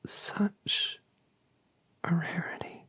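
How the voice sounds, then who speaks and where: A woman's voice: extremely slow, dry recording. Woman whispering as a doting mother dragoness. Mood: tender. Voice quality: soft whisper, breathy. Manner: cooing, affectionate, asks gentle questions. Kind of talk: whispered ASMR roleplay.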